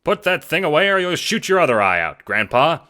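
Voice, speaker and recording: A dapper man on the run, played by a male narrator, with a bright, forceful voice. His pitch moves freely through old-time gangster phrasing, in audio drama style. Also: very animated, fairly quick. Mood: cocky. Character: dapper, jumpy male fugitive with gangster talk.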